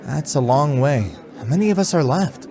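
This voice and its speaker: A man's voice: a little high, lively intonation, normal speed, warm tone. A man playing an earnest, good-hearted young soldier in a fantasy audio drama. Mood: weary, earnest. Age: young adult. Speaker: voice actor.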